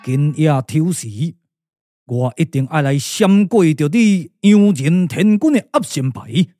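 A man voicing a grave older lord and strategist in a puppet radio drama, speaking slowly and with authority. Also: fairly high pitch, animated, warm tone, voice pushed down. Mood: brooding, resolute. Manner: slow, deliberate, authoritative.

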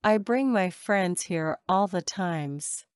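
A woman reads short practice sentences in a measured, careful way, each one repeated. Her voice is smooth and resonant, pitched a little low. The sound is dry and close, with no room around it. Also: lively intonation, dry recording. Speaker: English phrase reader. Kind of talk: slow repeated drill phrases.

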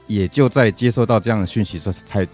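Low-pitched Taiwanese man chatting on air. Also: darkish tone, faint music behind. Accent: Taiwanese Mandarin. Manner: halting, repeats phrases while thinking aloud. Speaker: radio talk show co-host. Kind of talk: casual conversational discussion.

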